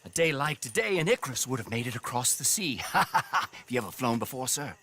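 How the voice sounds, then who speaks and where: An actor playing an eccentric, excitable gentleman balloon pilot in a video game, with flowery, courteous, exclamatory speech. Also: mid-range voice, a little fast, husky, exaggerated voice. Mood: jaunty, courteous.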